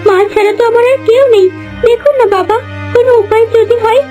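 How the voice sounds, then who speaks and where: Woman playing orphaned young girl, extremely high voice, pleading, fairy-tale cartoon, music behind. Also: fast. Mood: grieving, pleading.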